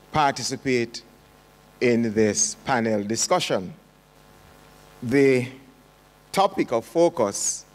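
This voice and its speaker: An older man, moderating a panel in a slow, measured, formal way from a podium in a large conference hall. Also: medium pitch. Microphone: podium mic. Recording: long hall reverb.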